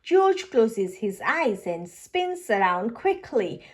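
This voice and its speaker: Female children's read-aloud host, high-pitched, lively range, unhurried storytelling delivery.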